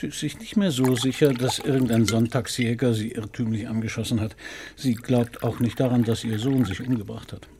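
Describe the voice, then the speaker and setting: An actor in a radio play playing a calm, courteous middle-aged police commissioner who speaks in a measured, probing way. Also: male voice, mid-range voice, background noise, lightly acted. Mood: relaxed, wry. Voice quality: gravelly, husky.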